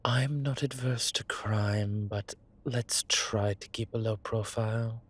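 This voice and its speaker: Suave male vampire for audio roleplay, played by a man: husky, breathy, measured, sentimental with dry wit. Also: lowish voice, fairly even intonation. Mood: wry. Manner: measured, wry, formal wording. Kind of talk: scripted intimate audio roleplay, one side of a conversation.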